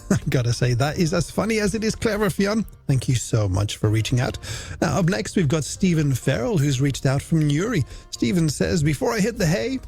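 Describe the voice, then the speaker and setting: A man's voice: a little high, gravelly, a little mellow, breathy edge. A middle-aged man with an Irish accent presenting a chatty late-night radio show in a playful, self-deprecating way, talking to listeners as friends. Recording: radio broadcast with music bed underneath. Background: music.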